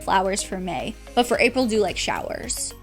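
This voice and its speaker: A woman's voice: mid-range voice, sing-song, normal speed, soft background music. A woman chatting casually about books in an informal, enthusiastic way, like a book vlogger. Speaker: book vlogger and readalong host.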